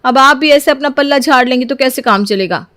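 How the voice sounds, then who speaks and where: A woman's voice: high voice, fairly quick, bright, forceful tone, dry recording, studio quality. A woman voicing an exasperated young daughter-in-law in a cartoon story, complaining in a whiny, indignant, dramatic way. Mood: aggrieved.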